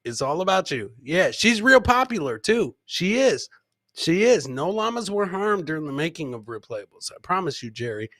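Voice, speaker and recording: Bright-voiced, excitable man hosting a livestream. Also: fairly high pitch, moderate pace, no room sound. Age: middle-aged. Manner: loud hype-man delivery, repeats phrases. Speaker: live comedy show host. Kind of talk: casual livestream banter, reacting to clips and chat. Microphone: close podcast mic on boom, headset.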